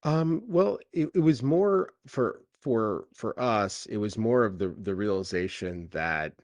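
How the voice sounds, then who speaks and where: Very deep-voiced man, halting interview talk. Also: animated, a little mellow, no echo. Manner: hesitant, halting delivery with many filler phrases. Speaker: tech founder being interviewed.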